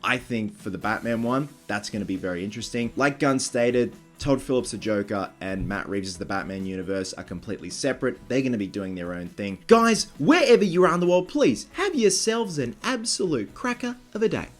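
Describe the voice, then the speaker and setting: A man with a stuffy, nasal voice from just waking up, hosting a casual news commentary vlog in a chatty, excitable fan manner. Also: medium pitch, fairly quick, few pauses, soft background music. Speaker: vlog host.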